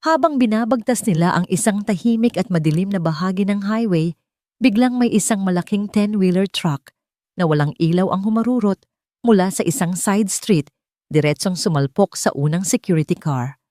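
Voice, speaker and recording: A woman with a Filipino accent narrating a scripted romance story as an audiobook, in a measured, dramatic way. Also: mid-range voice, lively intonation, mellow, no echo.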